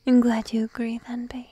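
A shy, clingy monster-girl girlfriend played by a woman murmurs in a breathy, very soft whisper. Her delivery is slow and even, drowsy and playfully coaxing, like an intimate ASMR roleplay, recorded close and dry. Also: fairly high pitch, no room sound, lo-fi sound. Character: shy, needy, teasing young sheep-girl girlfriend. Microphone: close microphone, dry.

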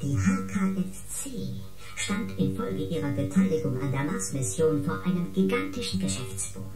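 A woman's voice: deep voice, lively intonation, normal speed. A woman playing a dry, wry artificial intelligence aboard a spaceship in a radio play. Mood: dry, informative. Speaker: actor in an audio drama. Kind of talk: dramatised exposition in a radio play. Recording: echoey, guitar music behind. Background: plucked guitar music.

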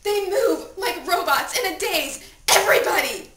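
A woman's voice: sits high, expressive, unhurried. A young woman performing a dramatic monologue as an anguished, pleading seventeen-year-old girl who has died, with theatrical delivery. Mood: frantic, pleading. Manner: theatrical, swinging from hushed telling to desperate cries. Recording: echoey room, distant mic, clear noise.

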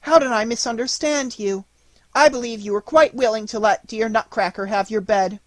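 A man voicing an excitable, tender-hearted little girl in a storybook, gushing and exclamatory. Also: high-pitched, normal speed, no echo, voice pushed up. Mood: tender, apologetic.

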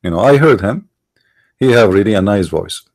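A middle-aged man with an Arabic accent hosting a commentary monologue, halting, restarting words and stressing his points. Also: fairly low pitch, animated, darkish tone. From Middle East. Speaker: online show host.

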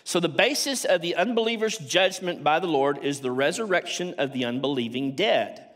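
A man in his sixties with a Texan accent, giving a measured academic lecture and reading quotations aloud from a stage lectern. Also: on the high side, expressive, fairly bright tone. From Texas, United States. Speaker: theology professor, conference speaker. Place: large auditorium stage at a lectern.

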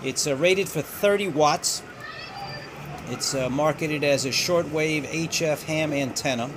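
A man hosting a ham radio channel, giving a casual product review in a halting, hesitant way. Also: a little high, noisy background.

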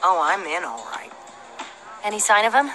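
An actress playing a keen, practical young adventurer in a video game, brisk and pragmatic. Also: female voice, lowish voice, sing-song, music in the background, rough recording.

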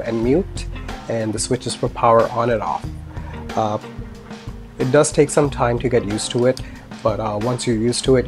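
A man's voice: mid-range voice, darkish tone. A man in his thirties giving a casual product review in a conversational way, with asides. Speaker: gear reviewer, indie filmmaker. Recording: music under the voice. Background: instrumental music with guitar.

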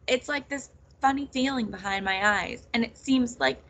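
A woman's voice: on the high side, moderate pace, a bit bright, a little hiss or hum, lightly acted. A woman voicing a helpful male farmer in a storybook, tentative and folksy.

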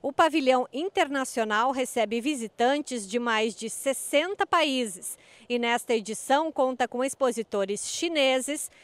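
A woman's voice: sits high, unhurried, no echo. A woman, reporting for TV news as a field reporter. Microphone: handheld microphone.

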